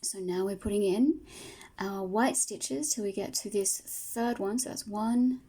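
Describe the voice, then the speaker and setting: A woman teaching crochet step by step, patient and soft-spoken, her voice tired and fading from a long day of talking. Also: mid-range voice, unhurried, breathy edge. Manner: soft, patient, talks through each stitch. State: losing her voice. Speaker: crochet tutor. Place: home table, indoors.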